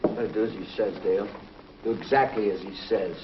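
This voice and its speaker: An actor playing a calm, measured young male hero pilot in a 1950s TV drama, on a muffled old soundtrack. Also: lowish voice, noisy background, natural voice. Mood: steady.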